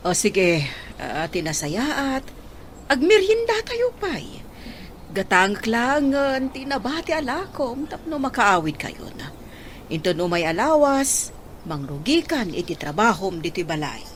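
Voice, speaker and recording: A woman's voice: on the high side, sing-song, measured pace, slight background noise. A woman playing a kindly, inquisitive lady of the house in a radio drama, concerned and generous. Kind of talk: Philippine radio drama acting.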